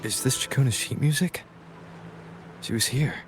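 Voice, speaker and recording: An actor playing a calm male traveller in a video game, musing in a measured, thoughtful way. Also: mid-range voice, noisy background, lightly acted. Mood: pondering. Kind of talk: video game voice acting, reflective monologue.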